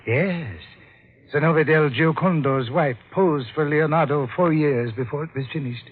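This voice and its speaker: An actor playing an odd, deferential butler, quietly obsessed and reverent, in an old-time radio drama. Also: male voice, a little high, measured pace, slightly rough. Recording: dull, faintly noisy old radio recording.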